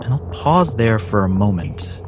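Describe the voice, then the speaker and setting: Slow, low-voiced male game master. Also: expressive, background noise. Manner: measured, suspenseful phrasing with pauses. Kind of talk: dramatic narration of a tabletop horror fantasy game. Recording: music under narration.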